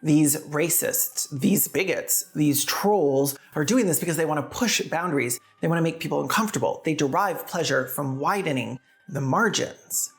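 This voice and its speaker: A woman's voice: sits low, normal speed, hardly any pauses, soft background music. A woman narrating scripted video commentary in a sardonic way, with casual asides. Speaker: video essayist and host.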